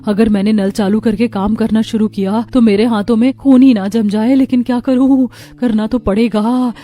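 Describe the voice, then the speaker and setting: A woman's voice: a little high, steady tone, moderate pace, close to an everyday voice. A woman voicing a humble young daughter-in-law. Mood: gentle. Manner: polite, gentle.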